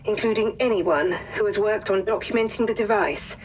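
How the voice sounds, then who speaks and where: An actress playing a formal wartime intelligence officer, delivering a measured, precise mission briefing. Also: female voice, medium pitch, a little hiss or hum, close to an everyday voice, rough recording. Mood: formal, grave. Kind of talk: scripted mission briefing narration.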